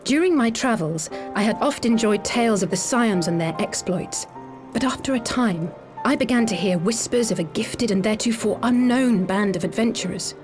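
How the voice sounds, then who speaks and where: A woman's voice: a little high, normal speed, lightly acted. An actress playing a composed, plucky young noblewoman adventurer in a game cutscene, speaking formally and articulately. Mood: earnest, reflective. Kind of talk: dramatic video game cutscene acting. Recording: music under the voice.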